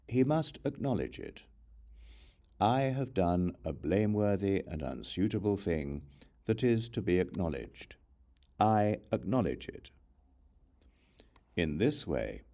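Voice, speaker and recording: A man reading scripture as an audiobook narrator, in a measured, formal, scripted recitation. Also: sits low, warm tone.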